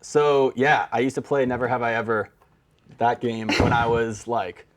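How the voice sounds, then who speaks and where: A man's voice: mid-range voice, flat delivery, normal speed. A young man with an American accent, a guest songwriter telling stories in an informal, meandering way. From Brazil-born, raised in the San Francisco Bay Area. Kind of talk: casual storytelling.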